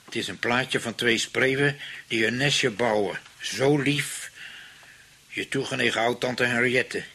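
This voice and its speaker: A scheming, money-hungry husband from a radio play, in a medium-pitched voice with a sharp edge and some rasp. He is theatrical and falsely pious. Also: a little slow, exaggerated voice. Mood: mock-solemn reading.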